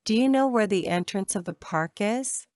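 A woman reading short English phrases for a language lesson, saying each one slowly and carefully twice. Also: mid-range voice, darkish tone, dry recording, clean studio sound. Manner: measured, careful enunciation. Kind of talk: slow repeated drill phrases.

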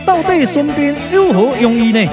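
A man's voice: extremely high pitch, animated, normal speed, over music. A man narrating a puppet radio drama in a theatrical storytelling style.

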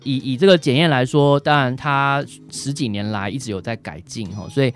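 A man's voice: mid-range voice, a little fast, faint music behind. A man with a Taiwanese accent, a doctor explaining conversationally as a radio guest, often ending phrases with short tag particles. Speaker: doctor answering questions, guest.

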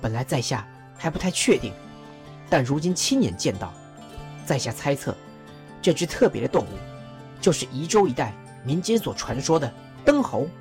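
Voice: mid-range voice, big pitch swings, moderate pace, darkish tone, faint music behind. A performer voicing a learned male court advisor who explains a folk legend in a radio drama. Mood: explaining. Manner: explaining, storytelling.

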